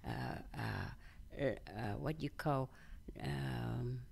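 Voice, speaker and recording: An older woman from Vietnam with a Vietnamese accent, telling her story in an interview in simple, halting English with many fillers. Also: extremely low pitch, gravelly, a little mellow, slightly rough. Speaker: interview guest. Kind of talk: conversational interview.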